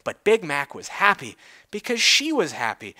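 Male narrator, bright and forceful, highly varied pitch, mock-dramatic storybook delivery. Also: huge pitch swings, moderate pace.

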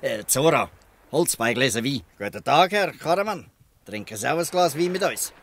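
A man's voice: mid-range voice, lively intonation, normal speed. Man acting proud old Swiss German fisherman. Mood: protective, hurried. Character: proud, protective old free fisherman, fatherly. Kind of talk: dialect radio play acting.

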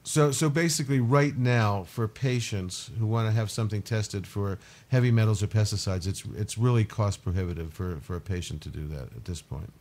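Lower-voiced man asking interview questions. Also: a little fast, hardly any pauses, darkish tone. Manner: filler-heavy, restarts questions. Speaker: radio show host.

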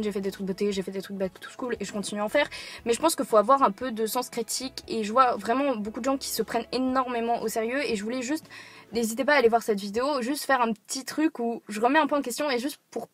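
A woman's voice: on the high side, fast talker. An eighteen-year-old woman from France, talking casually to camera from a parked car, rambling with many fillers and restarts. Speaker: YouTuber. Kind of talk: casual talking-head vlog.

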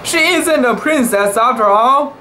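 A man's voice: very high-pitched, animated, unhurried, pushed and bright, slight background noise, voice pushed up, heavily acted, low-quality recording. A man voicing a dismissive, indignant male visitor in a storybook, with exclamatory delivery. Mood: indignant surprise.

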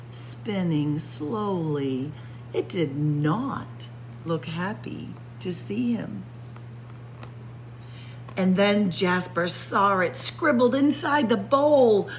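A woman reading a picture book aloud in a dramatic, suspenseful story-time style, sometimes hushed for emphasis. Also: fairly low pitch, a little hiss or hum. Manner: suspenseful, expressive, drops to hushed whispers for emphasis. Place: indoor library room with bookshelves and windows.

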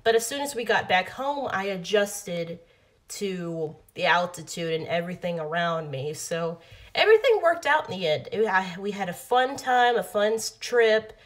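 A young woman tells a casual story straight into her phone at home, looping back and restarting sentences as she goes. Her voice sits at an ordinary middle pitch for a woman. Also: sing-song, moderate pace. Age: young adult. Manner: rambling, many restarts and fillers. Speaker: vlogger. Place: bedroom at home. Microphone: phone or webcam, close framing.

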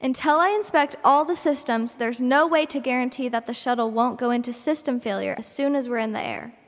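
High-voiced, stubborn engineer in reverb. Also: female voice, brisk. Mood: firm, exasperated. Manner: exacting, argumentative. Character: cautious, stubborn woman shuttle engineer. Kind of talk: audio drama acting.

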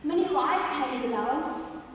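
A woman's voice: animated, normal speed. Young woman playing a menacing young man applicant. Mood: ominous. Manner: ominous, pushy, low menacing asides. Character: intense, scheming male applicant named Ben. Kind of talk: student stage play. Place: theater stage, audience. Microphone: far camera in the audience. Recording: echoey hall from far off, faint noise.

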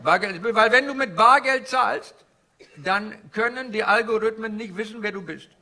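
Comic Indian finance guru: Indian accent, bright forceful tone, flattering salesman manner, live stage with some background noise. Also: sits high, moderate pace, exaggerated voice. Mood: mock-earnest explaining. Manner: ingratiating, theatrical, repeats phrases. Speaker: stand-up comedian. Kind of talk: character stand-up with audience banter. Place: indoor live venue before an audience. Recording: live stage with noisy audience. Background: audience laughter and crowd ambience.

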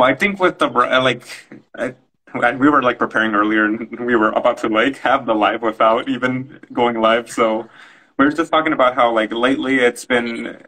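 A man's voice: mid-range voice, pushed and bright, low-quality recording. A man speaking on a video call panel, hesitant and reflective, often searching for his words. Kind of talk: casual panel discussion. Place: room at home, indoors.

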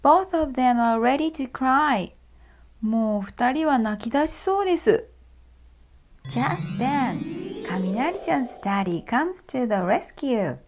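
A woman reading a picture book aloud to children, gentle and clear in her storytelling. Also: fairly high pitch, animated, takes it slowly. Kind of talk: bilingual storybook read-aloud. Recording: faint music behind.

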